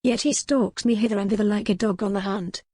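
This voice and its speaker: A woman's voice: medium pitch, fairly even intonation, fast, darkish tone, husky, no echo, lightly acted. Female narrator playing young society lady, flustered but proud, formal, emphatic; romance audiobook, dry studio sound. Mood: indignant. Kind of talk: audiobook character acting.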